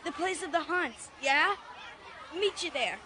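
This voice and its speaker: A woman playing an eager, excitable young boy in a dramatized audiobook, exclaiming to his gang. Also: very high-pitched, unhurried, crowd noise, background noise. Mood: strained, insistent. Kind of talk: dramatized audiobook radio play.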